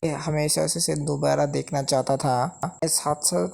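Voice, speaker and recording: Woman, recap narrator, lowish pitch, flat intonation, speaks characters' lines in passing. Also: measured pace, vocal fry. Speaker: narrator of manga recap videos.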